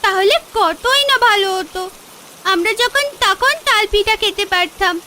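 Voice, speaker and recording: Very high-pitched little village girl. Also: normal speed. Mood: wistful. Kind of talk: Bengali cartoon fairy-tale voice acting. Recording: loud rain noise behind.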